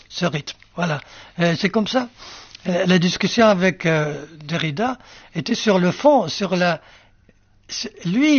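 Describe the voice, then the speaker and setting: An older male writer whose first language is Arabic, musing slowly in an interview with many fillers and restarts. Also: high voice, breathy edge, dry recording, muffled recording. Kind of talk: reflective interview answers.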